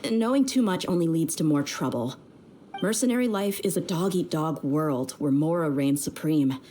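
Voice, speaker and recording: A woman's voice: low-pitched, lively intonation, normal speed, dark tone, slight background noise. A woman playing an easygoing, loyal young female mercenary leader in a video game, speaking in a casual, frank, slangy way. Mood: frank, serious.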